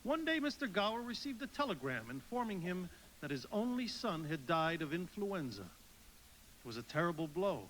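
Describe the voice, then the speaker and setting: A kindly senior angel briefing a junior in a radio drama. His high voice moves animatedly up and down with avuncular authority, over faint noise. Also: very animated, moderate pace, fairly bright tone, rough recording. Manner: instructive, avuncular. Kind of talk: live radio play acting.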